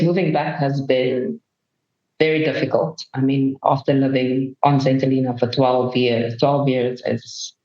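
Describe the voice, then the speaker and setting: A woman's voice: a bass voice, a little mellow. Earnest woman, roomy dull sound. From Namibia. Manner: earnest, measured, thoughtful. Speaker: guest. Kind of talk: reflective interview answer. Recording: roomy, dull remote recording.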